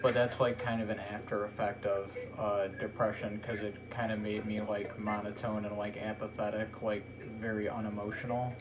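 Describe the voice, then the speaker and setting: Low, monotone man on a noisy mic. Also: moderate pace, few pauses. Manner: monotone, flat delivery. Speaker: podcast host. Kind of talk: casual podcast chat.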